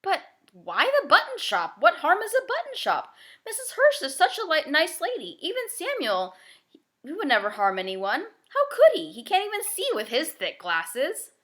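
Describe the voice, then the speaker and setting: A woman's voice: extremely high pitch, huge pitch swings, moderate pace, a bit bright, voice pushed up, full character voice. A woman voicing an earnest, anxious ten-year-old girl in a children's novel, asking emphatic, innocent questions. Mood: puzzled, indignant. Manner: wide-eyed, emphatic questioning. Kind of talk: children's novel read-aloud.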